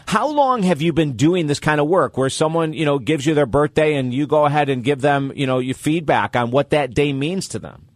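A man's voice: a little high, a little fast, no room sound. A man hosting a radio talk show, talking with upbeat, enthusiastic promo patter.

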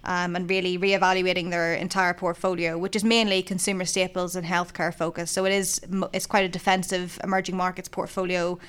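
Woman, fund analyst; low, even, smooth voice; steady explainer with fillers. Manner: measured, with frequent ums. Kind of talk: casual business discussion.

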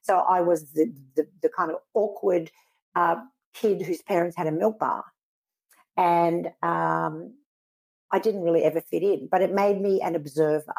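A woman from Israel speaks as an interview guest, her voice low-set, husky and gravelly with fry. She answers earnestly and at a measured pace, often stopping to search for the right word. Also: fairly even intonation, a little mellow.

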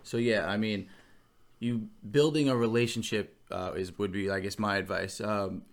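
Young male store manager on a podcast, slightly nasal, low voice, agreeable and laid-back. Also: fairly even intonation, normal speed, creaky. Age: young adult. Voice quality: a little nasal. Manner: agreeable, chimes in with "absolutely". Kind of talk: casual podcast chat.

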